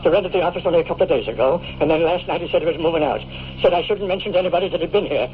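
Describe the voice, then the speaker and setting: Panicky, fast-talking coward played by a man. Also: fairly high pitch, natural voice. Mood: panicked. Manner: panicky, pleading, blurting. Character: terrified cowardly man begging for his life. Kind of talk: 1950s radio drama acting. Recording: tinny, hissy old radio recording.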